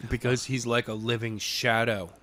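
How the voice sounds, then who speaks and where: A man's voice: mid-range voice, fairly even intonation, moderate pace, fairly bright tone, slight background noise. A man co-hosting a podcast in casual banter, with a playful, teasing manner and short punchy remarks. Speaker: podcast co-host.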